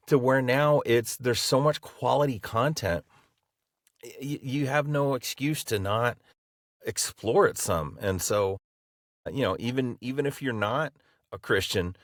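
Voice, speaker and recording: A man's voice: mid-range voice, dry recording, studio quality. A man co-hosting a podcast, talking enthusiastically and rambling with many "you know"s. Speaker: podcast co-host. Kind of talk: casual podcast conversation.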